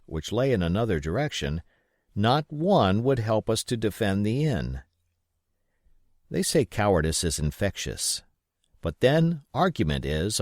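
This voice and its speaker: A man reading a classic adventure novel aloud in expressive scripted narration. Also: mid-range voice, no echo, studio quality. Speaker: audiobook reader.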